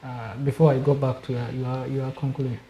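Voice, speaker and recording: A man from Somalia, a guest on a panel discussion, speaking in a measured way and repeating words while he searches for them. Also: mid-range voice, little pitch movement, warm tone, reverberant, low-quality recording. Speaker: studio guest.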